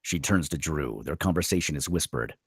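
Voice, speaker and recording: A man's voice: a bass voice, lively intonation, brisk, slightly rough, no echo, studio quality. A man reading stage directions as dramatic scripted narration at a screenplay table read.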